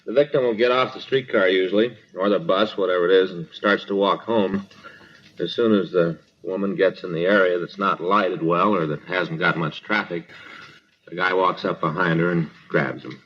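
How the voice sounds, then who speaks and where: A man's voice: low voice, fairly even intonation, normal speed, lightly acted. An American man playing an easygoing police detective in a 1950s radio drama, talking in a casual, matter-of-fact way. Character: easygoing, plain-spoken male police detective partner. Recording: muffled, noisy 1950s radio recording.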